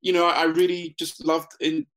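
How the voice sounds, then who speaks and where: A man's voice: fairly high pitch, fairly quick. Laid-back man from Ghana. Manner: relaxed, laughs often, many fillers. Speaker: interview guest, business founder. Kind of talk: casual interview conversation.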